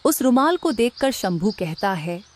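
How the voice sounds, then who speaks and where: A woman's voice: medium pitch, big pitch swings, unhurried. A woman reading scripted narration for a cartoon story, with faint noise in the background.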